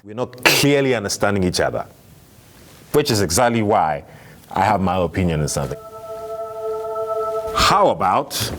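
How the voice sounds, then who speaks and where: Animated, low-voiced male debate host. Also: slight background noise. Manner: provocative, challenging. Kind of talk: lively debate moderating.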